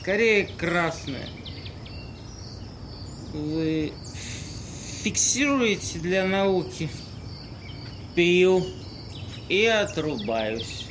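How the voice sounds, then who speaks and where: Homeless drunk, played by a male amateur: mock-grand, shameless, unhurried, audio-play style, clearly noisy outdoor backdrop with insects. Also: high voice, lively intonation, full character voice. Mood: boastful, rambling. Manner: mock-grand, rambling tipsy delivery with halting words. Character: drunken homeless man, pompous, cheerfully shameless drinker.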